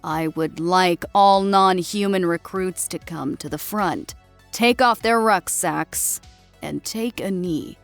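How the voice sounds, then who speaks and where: Woman voicing a loud, commanding senior drill sergeant. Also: lowish voice, takes it slowly, soft background music. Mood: proud, commanding. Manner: projected, formal addressing of recruits. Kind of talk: dramatic audiobook character reading.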